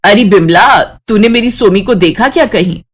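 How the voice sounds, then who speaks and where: A stern mother-in-law from a cartoon moral story acted by a woman. She is bitter and domineering. Her talk is sharp-tongued, full of taunts and threats, at a middling female pitch. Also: moderate pace, lightly acted. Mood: demanding. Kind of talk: Hindi animated moral-story voice acting.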